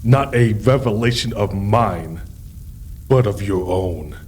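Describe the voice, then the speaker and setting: A man playing a cold, regal devil tyrant in an audio drama, speaking with pompous, measured menace. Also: on the low side, a little mellow, breathy edge. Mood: cold, commanding. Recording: faint background noise.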